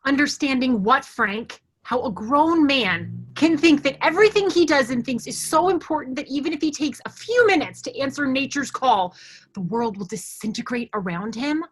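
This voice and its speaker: An actress in a staged play reading, playing a scornful, combative, fed-up human resources woman with a husky edge. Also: sits high, expressive, moderate pace. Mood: contemptuous.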